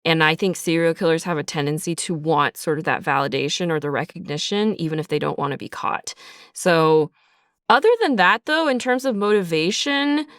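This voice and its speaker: A woman's voice: sits low, normal speed, a bit bright, dry recording, studio quality. A woman giving casual, reflective interview answers as a writer, explaining her creative process in a conversational way. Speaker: audio drama writer and creator being interviewed.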